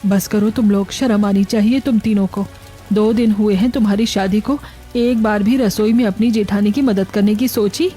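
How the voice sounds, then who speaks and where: A woman's voice: medium pitch, fairly even intonation, moderate pace, dark tone, soft background music, natural voice. A woman with an Indian accent playing a stern but caring older mother-in-law in a village family cartoon. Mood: scolding. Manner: scolding, concerned.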